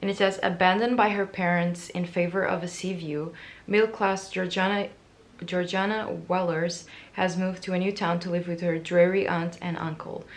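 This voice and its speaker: A young woman vlogging casually about books, her voice raspy and hoarse from yelling, chatty and self-mocking, with little stumbles and repeated words. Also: lowish voice, fairly even intonation, moderate pace. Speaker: book vlogger. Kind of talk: casual vlog chat.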